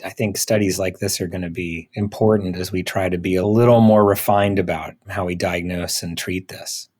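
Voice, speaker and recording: Lower-voiced man hosting a medical podcast. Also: steady tone, normal speed, darkish tone. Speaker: podcast host, physician. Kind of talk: conversational medical podcast interview.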